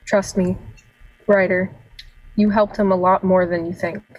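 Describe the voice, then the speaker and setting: A woman's voice: mid-range voice, little pitch movement, unhurried, lo-fi sound, natural voice. A woman acting in a radio play as a weary, forgiving, bereaved woman. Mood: weary, consoling.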